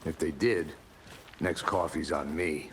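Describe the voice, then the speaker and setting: A man playing a seasoned, laconic FBI agent in a video game, middle-aged, terse and deadpan. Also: very deep voice, takes it slowly, slight background noise, full character voice.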